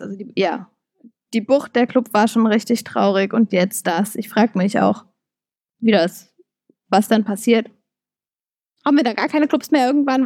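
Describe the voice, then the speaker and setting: A woman's voice: medium pitch, darkish tone, no room sound, studio quality. A young woman with a Berlin accent co-hosting a podcast, talking casually with frequent fillers, restarts and laughs. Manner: chatty, many fillers and restarts, laughs often. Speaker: podcast co-host.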